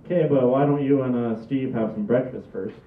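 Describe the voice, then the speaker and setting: A man's voice: medium pitch, fairly quick, lightly acted. A man reading the part of a sensible, exasperated son, with dry and hesitant delivery. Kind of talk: amateur table read from script. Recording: tinny echoey distant camera audio.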